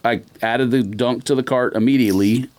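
A man's voice: medium pitch, steady tone, a little fast, darkish tone. Casual, opinionated male podcaster. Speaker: podcast co-host. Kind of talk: casual sneaker podcast chat.

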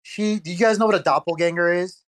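Casual, slangy talker, middling pitch, playfully dramatic delivery. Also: fast. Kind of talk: casual chat.

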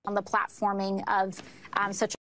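A woman speaks in a very monotone voice. She gives formal opinion commentary, haltingly choosing each phrase. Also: mid-range voice, dry recording.

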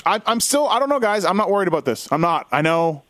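A man's voice: high voice, big pitch swings, quick pace, fairly bright tone, dry recording. A man hosting a casual motorsport podcast, bantering and joking, often repeating his phrases.

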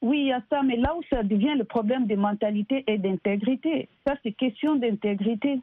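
A woman's voice: on the high side, a little fast, no room sound. A woman from DR Congo with a Congolese French accent, answering as an interview guest in an earnest, emphatic way, explaining with examples. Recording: tinny, phone-like band-limited line.

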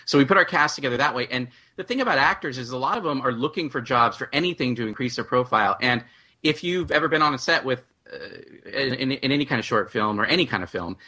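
A man talking casually as a guest producer on a panel, telling stories in an animated way and often doubling back on his phrases. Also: medium pitch, quick pace. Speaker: audio drama writer-producer, panel guest.

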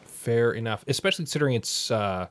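A man's voice: medium pitch, animated, quick pace, a bit bright. A man speaking casually as the co-host of a podcast conversation. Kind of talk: casual chat.

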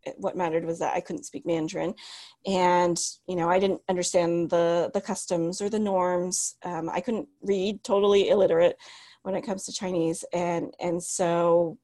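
A woman's voice: on the low side, fairly even intonation, normal speed. A woman in her thirties telling her story as an interview guest, reflective and full of restarts. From United States. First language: Cantonese. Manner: reflective, many restarts and ums, laughs softly. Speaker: guest, author.

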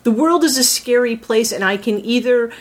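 A woman's voice: a little high, moderate pace. A woman voice actor in a casual round-table chat, animatedly acting out her character's thoughts as she talks.

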